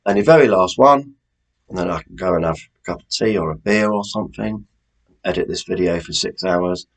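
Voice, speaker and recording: A man's voice: sits low, a little fast. A British man presenting a quiz in a chatty, informal way, as the host reading out questions.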